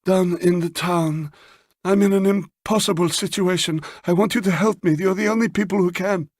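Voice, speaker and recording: A man's voice: high voice, steady tone, moderate pace, a little mellow, no room sound, pitched above the performer's own voice. A man voicing an anxious, desperate woman visitor with formal, insistent phrasing in an audiobook story. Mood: pleading, troubled.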